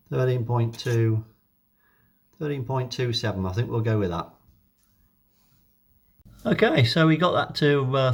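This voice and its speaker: A man gives a casual hands-on demonstration, thinking aloud in an unhurried, hesitant way. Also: medium pitch, a little mellow. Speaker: hobbyist video presenter.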